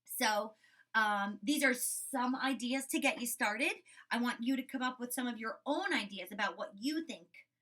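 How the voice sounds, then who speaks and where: A woman teacher reading a story aloud to children and commenting on it, expressive, often checking in with her listeners. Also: fairly high pitch, measured pace. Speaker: school teacher.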